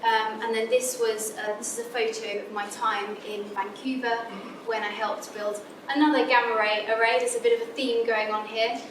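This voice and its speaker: A woman's voice: level tone, normal speed, hardly any pauses. Chatty academic woman with a southern English accent. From Scotland. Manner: friendly, chatty, many ums and restarts. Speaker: guest speaker, academic physicist. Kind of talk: careers talk to students. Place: lecture room. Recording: echoey lecture room from far off, background rumble.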